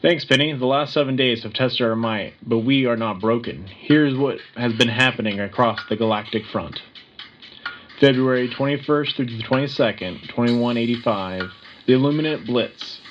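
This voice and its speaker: A man's voice: medium pitch, moderate pace, a little hiss or hum, natural voice. A man playing a resolute veteran wartime broadcaster, reading a scripted radio war report. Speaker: news host. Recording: dull audio with music underneath.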